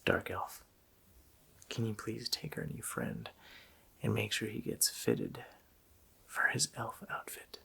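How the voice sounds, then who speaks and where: A wicked, child-snatching Christmas demon in an ASMR roleplay. The voice is a sharp, hissing whisper that breaks into gravelly fry. He taunts slowly, with mocking menace. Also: fairly low pitch, very animated, exaggerated voice. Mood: sly, commanding. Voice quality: breathy, gravelly rasping whisper.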